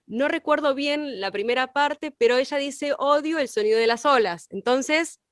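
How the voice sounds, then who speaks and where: A woman talks through ideas as a co-teacher. Her voice is fairly high and sounds close and dry, without room echo. She reasons aloud and poses questions as she goes. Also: moderate pace. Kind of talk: explanatory class discussion.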